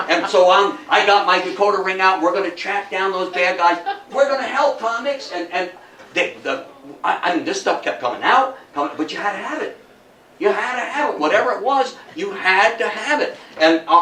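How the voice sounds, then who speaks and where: Higher-voiced older man lecturing in an echoey room. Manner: animated storytelling, repeats phrases for emphasis, checks in with "okay". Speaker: lecturer at a historical society talk. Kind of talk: informal lecture to an audience. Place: indoor talk room with audience. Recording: echoey room, distant mic, background noise.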